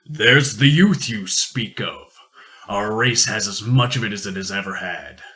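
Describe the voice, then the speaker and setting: A man playing a rich, sardonic industrialist in a radio play, measured, formal and a little pompous. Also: lowish voice, bright, forceful tone, soft background music. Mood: hearty, dismissive. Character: rich, worldly, sardonic industrialist, booming and indulgent. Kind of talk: amateur radio play acting.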